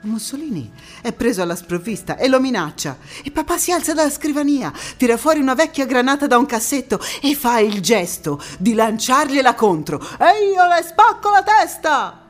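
A woman playing a proud, defiant anti-fascist partisan who recalls her youth in a theatrical monologue, with measured phrasing and deliberate pauses. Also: high-pitched, very animated, soft background music. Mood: heated, animated. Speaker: stage actress in a one-woman monologue. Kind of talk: theatrical first-person storytelling monologue.

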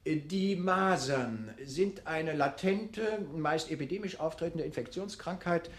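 A man playing a pedantic medical lecturer in a radio play, reciting facts in a clinical, measured manner. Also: a little high, expressive. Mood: pedantic, dry.

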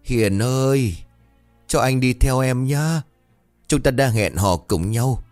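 A man's voice: medium pitch, animated, takes it slowly, a little mellow, dry recording, soft background music, voice pushed up. A man doing a half-mad lovesick drunk. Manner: rambling, slurred pleading.

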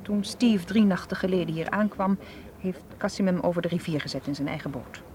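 An actress in a radio play playing a stubborn, determined young woman with a brisk, defiant manner. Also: fairly low pitch, darkish tone, slight background noise, natural voice. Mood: matter-of-fact. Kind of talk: radio drama acting. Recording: radio play with crowd murmur behind.

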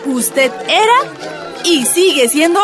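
A woman's voice: high voice, big pitch swings, slow pace, heavily acted. A woman playing a respectful committee comrade in a Bolivian radio drama, with a crowd and music behind her. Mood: welcoming, firm.

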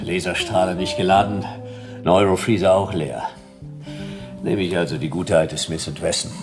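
A man's voice: deep voice, steady tone, moderate pace, loud music behind the voice. A man playing a world-weary private detective in a noir radio drama, narrating in clipped, laconic, wry phrases. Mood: wry narration. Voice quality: gravelly.

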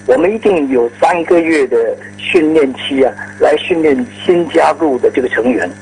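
A man reminiscing casually in a halting way, ending phrases on drawn-out particles. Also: a little high, a little mellow, slight background noise, rough recording. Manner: halting, frequent trailing particles.

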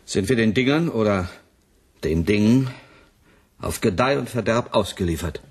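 An actor playing a sharp-witted, easily indignant male scientist in a radio drama, speaking in a pointed, articulate way. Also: lowish voice, animated, moderate pace, husky. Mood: grave, analytical. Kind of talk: German science fiction radio drama acting.

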